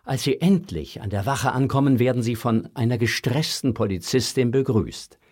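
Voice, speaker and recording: A male narrator tells a scripted children's story. His voice is medium in pitch and husky, his intonation highly varied, with soft music underneath. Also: lively intonation, moderate pace.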